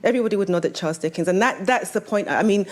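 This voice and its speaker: A woman's voice: mid-range voice, racing. A woman novelist talking about her own work as a panelist, in a conversational way that draws the audience in with questions.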